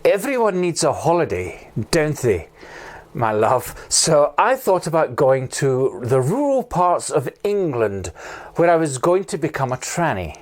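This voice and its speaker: A man's voice: on the high side, big pitch swings, unhurried. A man playing a flamboyant, fussy theatrical actor in a comedy sketch, camp and calling everyone darling. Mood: chatty.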